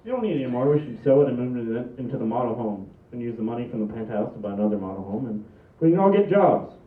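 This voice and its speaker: A man's voice: medium pitch, lively intonation, rapid-fire, close to an everyday voice. Male actor as dry, sincere family man holding everyone together. Thin, phone-like, echoey sound. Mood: earnest, decisive. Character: exasperated, dutiful adult son holding his family together. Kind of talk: comedy table read. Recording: echoey, distant, thin phone-like room recording.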